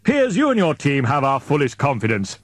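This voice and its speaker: A man acting a booming, pompous male leader. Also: wildly swooping pitch, moderate pace, dry recording, clean studio sound. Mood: smugly assured. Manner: pompous, wordy, formal. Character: booming, fatherly, smoothly authoritative male expedition leader. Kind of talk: audiobook character dialogue.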